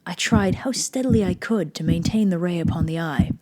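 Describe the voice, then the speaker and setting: A woman's voice: lowish voice, a little fast, mellow, slight background noise, close to an everyday voice. Woman acting an intense, mad Gothic horror narrator. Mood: tense, hushed. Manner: theatrical, intense, precise old-fashioned phrasing. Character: nervous, manic murderer insisting on their sanity. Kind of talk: dramatic reading of a Gothic horror story.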